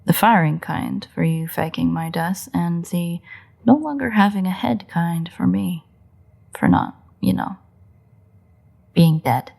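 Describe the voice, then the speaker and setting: Woman performing a female vampire, audio roleplay, sardonic, playful menace, gravelly low-end fry, unhurried pauses, secretly soft-hearted. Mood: wry, guarded. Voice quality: husky, creaky, often breathy. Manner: wry, teasing, unhurried delivery with pauses. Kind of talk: scripted roleplay audio drama monologue.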